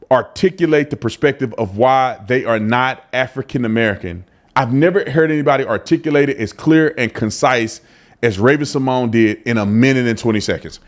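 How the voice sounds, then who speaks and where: A man hosting a casual commentary show, talking emphatically between clips and repeating himself as he argues his point. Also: medium pitch. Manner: animated, emphatic, repeats phrases.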